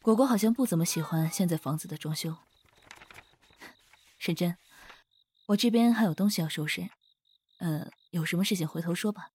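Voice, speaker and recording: A woman acting a composed, shrewd, mature finance director with a calm, cool manner in a modern audio drama. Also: lowish voice, moderate pace, long pauses, husky. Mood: cool, reserved.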